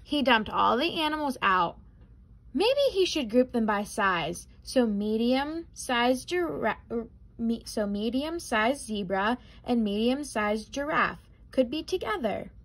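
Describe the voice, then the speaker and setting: Young woman, higher-pitched voice, animated intonation, careful children's storybook narration. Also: takes it slowly. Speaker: picture-book reader. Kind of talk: children's storybook read-aloud.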